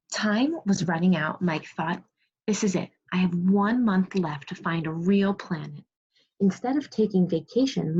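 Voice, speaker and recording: A woman teacher reading a storybook aloud to young children in an online lesson, expressive, pausing to prompt and praise her pupils. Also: on the low side, breathy edge. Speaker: second grade teacher. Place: remote video class. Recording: muffled, lo-fi online class audio.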